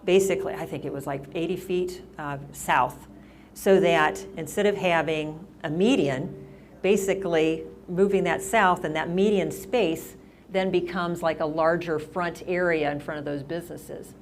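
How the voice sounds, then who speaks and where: A woman's voice: fairly low pitch, fairly even intonation, moderate pace, a little hiss or hum. A middle-aged woman mayor talking casually at a senior center, rambling with lots of restarts and fillers. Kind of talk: casual town-hall talk.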